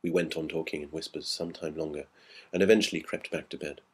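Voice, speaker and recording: Male narrator for classic ghost story, very low and husky, measured dramatic delivery. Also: steady tone. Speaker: audiobook narrator.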